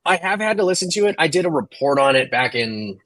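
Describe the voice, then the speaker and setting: A man in his thirties with a husky voice, chatting casually as a panelist from a room at home on a video call. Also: fairly high pitch, sing-song, fast, fairly bright tone, no echo. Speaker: panelist who works in film. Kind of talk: casual chat.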